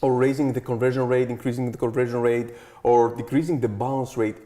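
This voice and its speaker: Picture a man in his thirties whose Greek accent colors every word. His pitch sits right in the middle for a man. He talks as a guest in a casual interview, eager and sure of himself, and keeps tossing in "okay" and "like" as he goes. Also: little pitch movement, a little fast, mellow.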